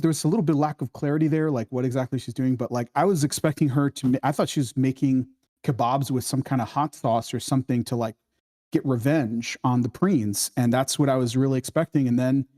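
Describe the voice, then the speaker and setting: A man with a mellow, relaxed voice and an average pitch gives informal critique in a workshop. He talks haltingly, working his thoughts out as he goes. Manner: halting, informal, thinking aloud. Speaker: script workshop participant giving feedback. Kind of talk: casual spoken critique.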